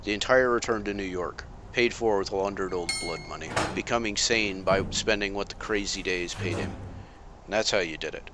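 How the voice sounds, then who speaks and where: An actor playing a calm, analytical masked vigilante detective with a dry, measured delivery. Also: male voice, deep voice, background noise, natural voice. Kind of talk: amateur motion comic voice acting.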